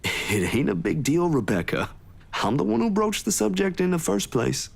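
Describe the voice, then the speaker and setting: A man voicing an earnest, appreciative young filmmaker in a visual novel game, with a raspy voice. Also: high-pitched, sing-song, moderate pace, heavily acted. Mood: earnest, reassuring.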